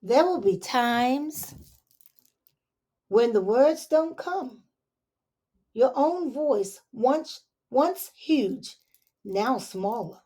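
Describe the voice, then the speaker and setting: A woman teacher reads a picture book aloud to young children at a slow, careful pace. Her voice sits a little high, and she lingers over the words with expressive storytime phrasing. Now and then she drops into soft asides to her listeners. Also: breathy edge. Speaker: elementary school teacher.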